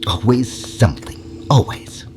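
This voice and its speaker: A man's voice: medium pitch, unhurried, close to an everyday voice. A man playing an eccentric, secretive alien scientist in an audio drama, with a fussy, theatrical, conspiratorial way of talking. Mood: fussy, irritated. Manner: theatrical, fussy, scolding, dropping into conspiratorial hushes. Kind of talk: audio drama character acting.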